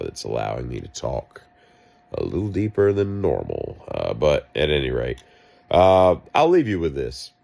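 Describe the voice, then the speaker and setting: A man with a deep voice, sick and coughing, telling a rambling, wry story in a vlog. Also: vocal fry, a little mellow. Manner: wry, self-mocking, meandering asides. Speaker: vlogger.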